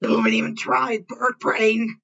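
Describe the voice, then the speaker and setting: A woman's voice: fairly high pitch, little pitch movement, slow, vocal fry, a bit bright, husky, lo-fi sound, full character voice. A woman voicing an angry, foul-mouthed teenage boy who barks and scolds, in an amateur story reading. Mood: furious, exasperated. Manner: barking, scolding, sweary.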